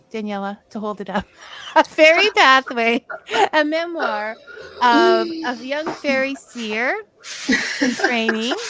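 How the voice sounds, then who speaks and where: A woman host speaks slowly and deliberately in a slightly raised voice, over a video call. She keeps it chatty and loose, laughing often. Faint noise hums beneath. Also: on the high side. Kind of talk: casual talk-show hosting.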